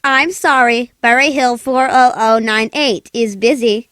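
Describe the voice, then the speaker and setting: A man voicing a polite but unhelpful female telephone operator in an old radio play, formal and measured. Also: very high-pitched, fairly even intonation, fairly bright tone, slight background noise. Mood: polite detached. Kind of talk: 1940s radio play acting.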